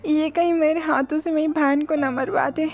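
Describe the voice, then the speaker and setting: A woman playing a gentle, loyal, sisterly goddess with an earnest manner in dramatized animated storytelling. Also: sits high, normal speed, soft background music. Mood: fearful, defiant.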